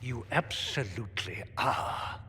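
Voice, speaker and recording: An actor playing a pompous, hostile church chancellor in a video game, scornful, imperious and accusing. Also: male voice, medium pitch, lively intonation, slow, sharp tone. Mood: indignant, accusing. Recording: echoey stone hall, noise and ambience.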